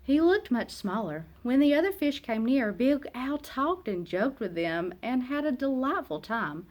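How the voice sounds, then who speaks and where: A woman narrating a children's picture book aloud in an expressive, dramatic way, with emphatic pauses and hushed phrase endings. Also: high-pitched. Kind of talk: expressive storybook read-aloud.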